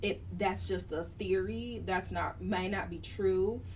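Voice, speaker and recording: A woman's voice: mid-range voice, fairly even intonation, a little slow, darkish tone. Female co-host, casual book chat, self-correcting, recorded off-mic with light background noise. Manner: self-correcting, laughs at own slips. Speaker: podcast co-host. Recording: distant mic with faint noise.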